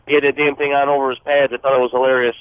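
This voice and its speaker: A man's voice: mid-range voice, little pitch movement, racing, smooth, no echo. A man calling in to a radio show by phone, joking casually. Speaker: phone-in caller. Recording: dull lo-fi phone call.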